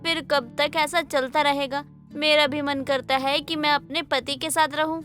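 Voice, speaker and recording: Woman acting a high, bright-voiced emotive young wife. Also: fairly even intonation, measured pace, faint music behind. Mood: pleading. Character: lonely, pleading young wife, affectionate but easily irritated. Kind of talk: Hindi moral-story audio drama acting.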